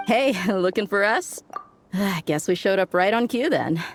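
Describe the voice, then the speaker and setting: A woman's voice: mid-range voice, expressive, unhurried, soft background music. A woman playing a breezy, cocky desert mercenary in a video game, talking in a casual, teasing way. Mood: playful, teasing.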